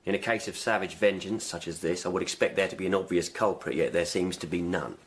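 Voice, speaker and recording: An actor playing a stern, secretive royal coroner with an English accent, speaking with formal authority in a radio drama. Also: male voice, low-pitched, fast talker, close to an everyday voice. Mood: grave, official.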